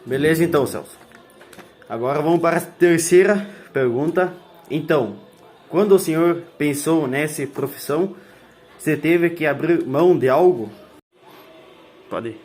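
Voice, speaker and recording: Student interviewer, male, higher-pitched, brisk and direct with his questions. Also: a little mellow, faint music behind.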